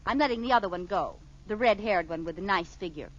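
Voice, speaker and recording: Actress as scatterbrained radio housewife, swooping voice. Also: female voice, mid-range voice, animated, fast talker, natural voice. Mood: flustered politeness. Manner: theatrical, coy, mock-innocent comic delivery. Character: scatterbrained, nosy, fibbing young suburban housewife. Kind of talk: 1940s radio sitcom acting. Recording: muffled, noisy old radio broadcast recording.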